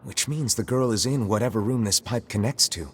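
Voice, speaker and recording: Man acting a low-voiced young adventurer. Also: slight background noise, close to an everyday voice. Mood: wary. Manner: casual, understated, halting. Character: earnest, plain-spoken young male adventurer. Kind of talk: video game voice acting. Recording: loud music behind the voice. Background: game music.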